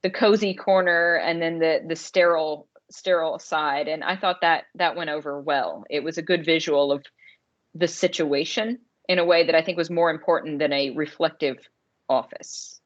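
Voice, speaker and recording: A woman podcast co-host with a slightly low voice, chatting casually as in a movie review. She speaks emphatically, doubling up words for stress. The recording is a little dull and lo-fi. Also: steady tone, normal speed. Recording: dull lo-fi audio.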